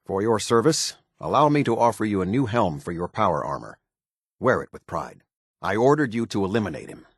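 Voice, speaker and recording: Low, stern military captain. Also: male voice, sing-song, brisk, breathy edge, no echo, clean studio sound, exaggerated voice. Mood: stern, commanding. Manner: clipped, authoritative, formal. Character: stern, disciplined male military captain giving orders. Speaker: video game voice actor. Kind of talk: scripted video game dialogue.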